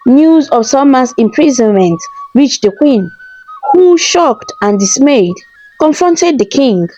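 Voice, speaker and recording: A woman's voice: high-pitched, expressive, takes it slowly, mellow, lo-fi sound, a little hiss or hum. A woman narrator reading a scripted folktale in a storybook style.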